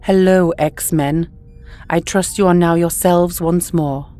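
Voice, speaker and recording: Woman playing a stern alien empress, low voice, formal, long reverb. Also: fairly even intonation, takes it slowly, warm tone, soft background music. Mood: commanding. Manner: formal, clipped.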